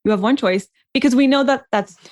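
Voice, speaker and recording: High, slightly raspy woman podcaster. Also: brisk, a little mellow. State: recovering from a cough, slightly raspy. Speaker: podcast co-host and coach. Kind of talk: casual chat between co-hosts.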